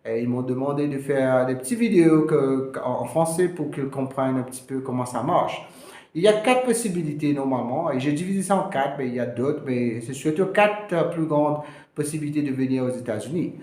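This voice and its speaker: Guy, lawyer, lots of ums, drops english words in, mid pitch, echoey room. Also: animated, brisk, a little mellow. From Mauritius. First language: Mauritian Creole. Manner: hesitant, many fillers, slips into English terms. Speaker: immigration lawyer. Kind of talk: casual explainer to camera. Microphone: camera.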